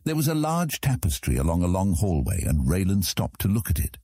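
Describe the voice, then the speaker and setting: A man's voice: low voice, sing-song, fairly quick, dark tone, breathy edge, no echo, studio quality. A man narrating a fantasy audiobook from a script as the storyteller.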